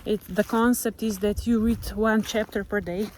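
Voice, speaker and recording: A woman with a Slovenian accent, speaking English as a second language in a gentle, reflective motivational vlog while walking outdoors, sometimes searching for words. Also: mid-range voice, steady tone, normal speed, slight background noise. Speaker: vlogger. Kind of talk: reflective motivational monologue to camera. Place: outdoors on a nature walk. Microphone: camera held while walking.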